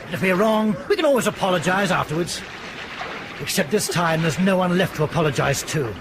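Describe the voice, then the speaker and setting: An actor playing a weary, remorseful ship's captain in a radio drama, halting and clipped. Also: male voice, high-pitched, expressive. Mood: bitter. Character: weary, bitter, remorseful male skipper in command. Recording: radio drama with clear background noise.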